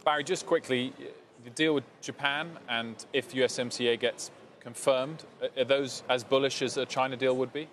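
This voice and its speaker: A man anchoring a live financial news segment, cutting in with quick follow-up questions. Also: medium pitch, steady tone, fairly bright tone, slight background noise. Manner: interjecting, brisk questions. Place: TV studio on a trading floor.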